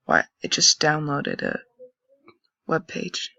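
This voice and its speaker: Young man, raspy gravelly voice, rambling casual commentary, dull lo-fi dry recording. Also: on the high side, wildly swooping pitch, slow pace, pushed and bright, no echo. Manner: meandering, thinking aloud. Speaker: channel host. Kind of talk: casual commentary while browsing.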